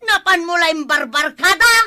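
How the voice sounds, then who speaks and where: A woman playing a shrill scolding village wife. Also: lively intonation, moderate pace, bright, forceful tone, exaggerated voice. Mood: furious. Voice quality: shrill scolding, breaks into crying. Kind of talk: Ilocano radio-style comedy drama.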